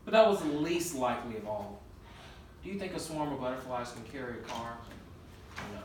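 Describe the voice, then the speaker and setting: A man's voice: medium pitch, expressive, brisk. Man, children's read-aloud, narrating, questioning, distant mic, strong room echo, faint noise. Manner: questioning, prompting the listener. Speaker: storyteller reading aloud to a listener.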